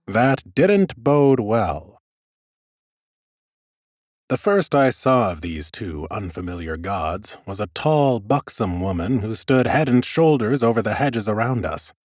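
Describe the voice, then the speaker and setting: Male audiobook narrator: deep-leaning, animated but understated wit, scripted fantasy prose, very dry studio sound. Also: slow pace, no room sound. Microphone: close studio microphone.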